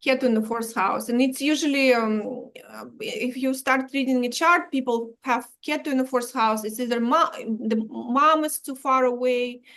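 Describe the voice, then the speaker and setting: A woman talks slowly as an interview guest. She pauses, restarts her sentences and drops small words. Her voice sits a little high. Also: fairly bright tone. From Ukraine. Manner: halting, many restarts, drops articles. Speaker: Vedic astrologer guest. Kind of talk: explanatory interview talk.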